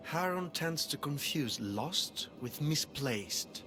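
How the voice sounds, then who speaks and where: An actor playing an oily, falsely humble traitor in a game cutscene, speaking formally and smoothly, slipping into near-whispers. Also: male voice, fairly high pitch, animated. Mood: smooth, scheming. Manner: smooth, ingratiating, formal, deliberate. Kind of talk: video game cutscene acting. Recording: loud music behind.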